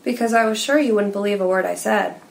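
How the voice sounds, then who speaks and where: Lady doing a guarded enigmatic masked woman, creaky mid-pitched voice, dry and deliberate. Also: lightly acted. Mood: guarded, wry. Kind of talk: dramatic screenplay table read.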